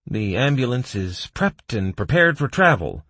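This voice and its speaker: A man's voice: medium pitch, sing-song, unhurried, a bit bright, breathy edge, dry recording, pitched above the performer's own voice, exaggerated voice. A man voicing a fussy, hesitant healer in a fantasy game story. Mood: businesslike. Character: anxious, matter-of-fact male healer of a gaming party.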